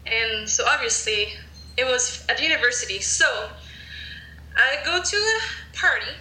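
A young French woman speaks English with a French accent in a casual podcast chat. Her voice is very bright and sits fairly high. She hedges her points with plenty of fillers, and the room around her has a noticeable echo. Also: animated, a little slow, a little hiss or hum. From France. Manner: chatty, hedging with many fillers. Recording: roomy echo.

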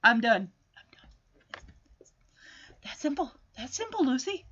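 A woman's voice: high-pitched, lively intonation, moderate pace, sharp tone, dull audio. A woman voicing an earnest, bashful little cartoon boy who is sincere and a bit hesitant. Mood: matter-of-fact.

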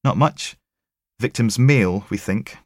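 A man's voice: mid-range voice, a little slow, dry recording, clean studio sound, voice pushed up, lightly acted. A man voicing a blunt female forensic technician with a Scottish accent and a wry, matter-of-fact manner, in an audiobook.

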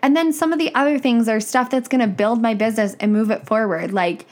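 A woman's voice: a little high, a little fast, a little mellow. A young woman, a business coach, talking casually on her podcast, her voice nasal and stuffy from a cold, frank and chatty. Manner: chatty, frank, says "like" and "right?" often.

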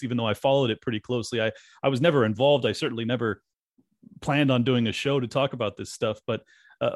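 A man's voice: medium pitch, no echo, clean studio sound. A man hosting a podcast interview, talking casually with restarts and fillers. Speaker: podcast host. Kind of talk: conversational interview.

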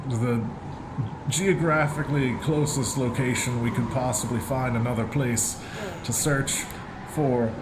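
An actor playing a snobbish, irritable, well-travelled gentleman plumber in a comic radio play, with mannered old-fashioned phrasing. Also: mid-range voice, fairly even intonation, a little slow, heavy background noise, close to an everyday voice. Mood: exasperated.

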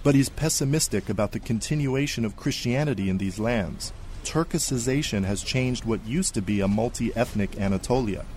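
A man's voice: medium pitch, normal speed, hardly any pauses, darkish tone, a little hiss or hum. A man reading a scripted radio news report as a correspondent.

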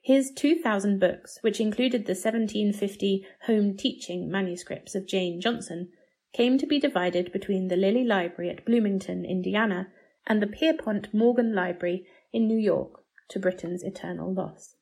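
A woman giving a formal, measured scripted narration of an essay. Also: medium pitch, slightly rough. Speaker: article narrator.

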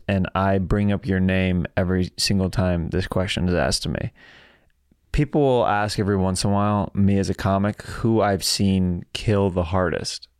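Low, smooth-voiced male interviewer, dry sound. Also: dry recording. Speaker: interviewer, stand-up comedian. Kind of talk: casual conversational interview.

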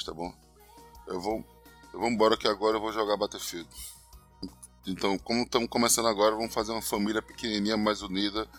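Young man, Recife accent, bright tone, chatty co-host, recorded in a living room. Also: lowish voice. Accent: Pernambuco (Recife). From Recife, northeast Brazil. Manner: chatty, often tags "entendeu?". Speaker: podcast co-host. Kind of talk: casual podcast chat.

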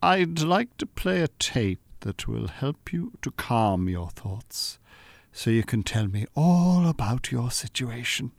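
Radio drama: Irish male hypnotist swindler, slow, lively intonation, courteous and oily, music behind. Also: medium pitch, gravelly, dry recording, exaggerated voice. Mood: soothing, hypnotic. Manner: courteous, unctuous, soothing, professional. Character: smooth, calculating male con-artist hypnotist posing as scientist.

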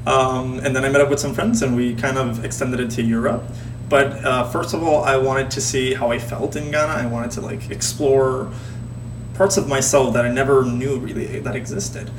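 A man's voice: medium pitch, little pitch movement, moderate pace. Man, 20s, Spanish speaker, reflective, hesitant, noisy recording with hum. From Puerto Rico. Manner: reflective, hesitant, many fillers and restarts. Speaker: podcast guest. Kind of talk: casual conversational storytelling. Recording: roomy, distant, noisy with hum.

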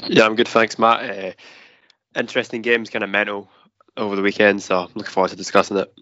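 Fast, flat-voiced man. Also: lowish voice, dry recording. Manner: rapid, even delivery. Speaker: podcast panelist. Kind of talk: casual football podcast chat. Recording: dry, dull lo-fi podcast audio.

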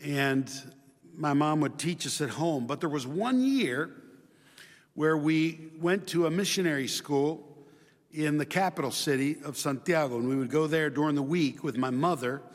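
A man with a slightly high voice preaches to a congregation in a large, echoing hall. He moves between scripture and personal storytelling, repeating key phrases for emphasis. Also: moderate pace. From Chile. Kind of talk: sermon with personal stories and scripture readings.

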